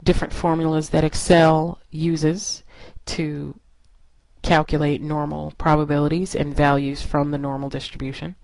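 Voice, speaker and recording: Low-pitched woman instructor, close dry sound. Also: level tone, moderate pace, darkish tone, no echo, poor audio. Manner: explanatory, step-by-step. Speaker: statistics instructor. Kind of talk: instructional lecture narration.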